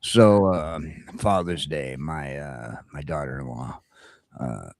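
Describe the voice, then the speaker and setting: A man's voice: a bass voice, slow, no room sound. A middle-aged American man hosting a casual, comedic morning chat show, telling rambling stories with crude humor. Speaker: talk show host.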